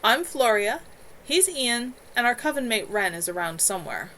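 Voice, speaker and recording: A woman's voice: fairly high pitch, big pitch swings, normal speed, a little hiss or hum. Floria, a woman reading a firm, motherly witch. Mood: brisk, kindly. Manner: warm but firm, brooks no argument.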